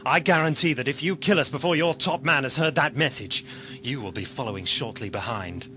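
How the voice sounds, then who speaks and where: An actor playing an eccentric, quick-witted time-travelling adventurer in an audio drama. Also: male voice, mid-range voice, loud music behind the voice, lightly acted. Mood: indignant, firm.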